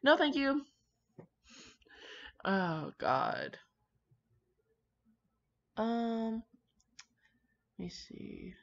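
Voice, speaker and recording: A woman's voice: fairly high pitch, huge pitch swings, extremely slow, gravelly, pauses often. A woman rambling casually with dramatic asides, brash, slangy and full of swearing as she comments on fan fiction. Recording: dull lo-fi microphone.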